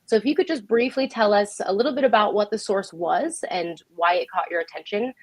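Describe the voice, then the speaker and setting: A woman's voice: medium pitch, a little fast, no echo. A woman speaking as the host of a livestream show, introducing and interviewing.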